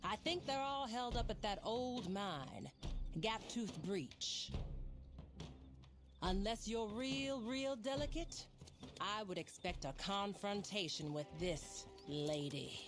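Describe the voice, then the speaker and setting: Slow-talking, wry American frontierswoman. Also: medium pitch, expressive, over music. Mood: wry, grim. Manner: wry, folksy, unhurried. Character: wry, world-weary female bounty dispatcher of the Old West. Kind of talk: western game character monologue.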